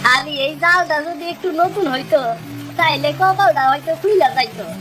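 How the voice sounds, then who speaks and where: Woman acting despairing young fisherman, very high voice, East Bengali accent, plaintive, cartoon, clear music behind. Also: normal speed, lightly acted. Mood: bitter. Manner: complaining, plaintive. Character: despairing, bitter young poor fisherman with a family.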